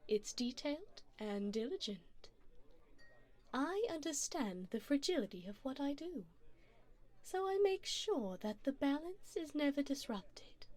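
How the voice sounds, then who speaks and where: Slow, elegant vampire woman, acted by a woman. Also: sits high, very animated, darkish tone. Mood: poised, businesslike. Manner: formal, teasing, unhurried endearments. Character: elegant, flirtatious vampire woman, refined, calm and predatory. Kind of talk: ASMR roleplay to the listener.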